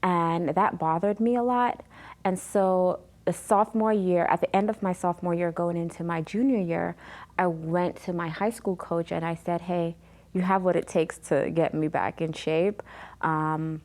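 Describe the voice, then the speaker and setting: A woman in her thirties, originally from Jamaica, telling her life story as an interview guest with frequent pauses and fillers. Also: lowish voice, steady tone, darkish tone. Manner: many ums and pauses, earnest retelling.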